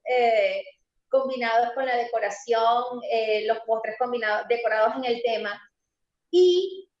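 High-voiced animated woman in a roomy space. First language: Spanish. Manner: animated and emphatic, stressing points slowly, laughs easily. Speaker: interview guest, pastry entrepreneur. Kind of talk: casual conversational interview. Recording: roomy, slightly dull sound.

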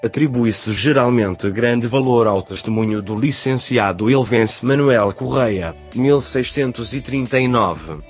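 A man reading a history book aloud, steady and formal, slowing to recite dates and sources. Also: mid-range voice, faint music behind. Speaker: narrator.

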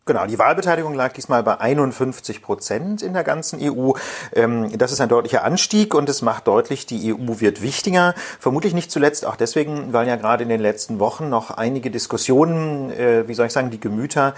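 Mann mit heiserer, mittlerer Stimme. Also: male voice, mid-range voice, a little fast, breathy edge. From Berlin. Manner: explanatory, hesitant with fillers. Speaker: podcast co-host, lawyer. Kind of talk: casual political podcast talk.

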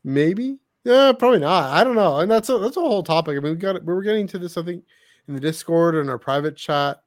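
A man's voice: on the high side, animated, quick pace, no echo. Casual male podcaster, dry close sound. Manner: hedging, thinking aloud. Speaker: podcast co-host. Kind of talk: casual podcast chat about books.

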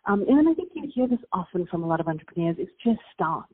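A woman's voice: on the low side, sing-song, fast, slightly rough. South African woman on a phone line. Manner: earnest, reflective, with fillers and restarts. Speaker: business founder, guest. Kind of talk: conversational interview answers.

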